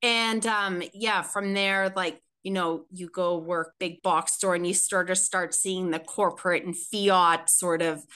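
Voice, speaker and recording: Bright, chatty woman. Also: on the low side, fairly even intonation, a little slow, few pauses, clean tone. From Canada, Ontario. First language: Swiss German. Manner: chatty, rambling, heavy filler words, frequent laughter. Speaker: guest. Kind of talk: casual interview chat.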